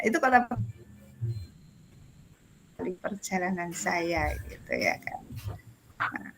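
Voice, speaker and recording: A woman's voice: mid-range voice, gravelly, slight background noise, poor audio. A woman facilitating an online class in a casual, jokey, giggly and self-deprecating way. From Indonesia. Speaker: facilitator of an online teacher training forum. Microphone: video call.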